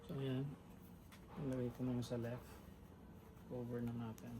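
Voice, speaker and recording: A man's voice: medium pitch, normal speed. A man chatting casually through a how-to vlog, describing each step as he works with his hands. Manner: chatty, narrates while working with his hands; relaxed, mixes English into his talk. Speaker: vlogger. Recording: clear background noise.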